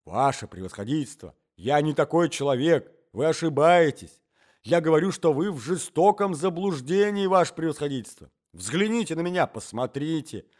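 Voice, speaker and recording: A man's voice: a little high, animated, moderate pace, a little mellow, pitched above the performer's own voice, natural voice. A man playing a hapless, flustered fellow in a story who pleads obsequiously and babbles in a whine. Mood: imploring.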